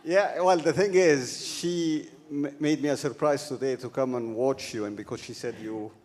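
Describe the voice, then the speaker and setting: An audience member, a man, speaks at an ordinary mid pitch. He talks haltingly, stopping and restarting his sentences as he searches for words. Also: a little mellow. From Egypt. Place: large event hall. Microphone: handheld microphone passed to him.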